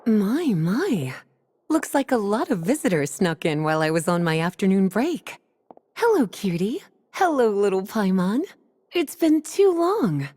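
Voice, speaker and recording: An actress playing a playful, unhurried woman librarian in a fantasy video game, with coy, teasing politeness. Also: medium pitch, very animated, darkish tone. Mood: teasing, welcoming.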